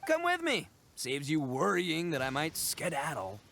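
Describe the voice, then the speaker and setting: A young oni braggart from a video game, bright and punchy in tone. His pitch leaps around wildly as he talks, chummy and theatrical, full of cocky, childlike enthusiasm. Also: wildly swooping pitch, a little slow, creaky, a little hiss or hum, exaggerated voice. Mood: cocky, playful. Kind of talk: animated video game voice acting.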